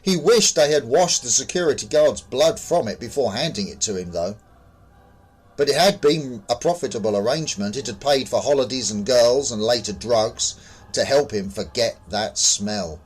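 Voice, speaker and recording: A man's voice: mid-range voice, lively intonation, normal speed, breathy edge, low-quality recording. A man reading scripted horror fiction as a dramatic story narrator.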